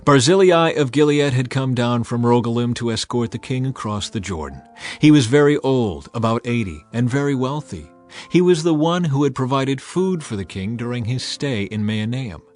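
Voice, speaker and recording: Male narrator, mid-pitched, dramatized Bible storytelling with soft music underneath. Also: expressive, moderate pace. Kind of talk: dramatized scripted narration of scripture.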